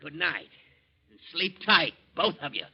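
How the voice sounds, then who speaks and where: High, gravelly, raving desperate drunkard. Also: male voice, expressive, unhurried. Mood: sneering menace. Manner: raving, sneering, menacing. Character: Victor, crazed, thirst-maddened, gun-waving drunkard and jealous man. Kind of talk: 1940s radio acting. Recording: dull, lo-fi 1940s radio recording.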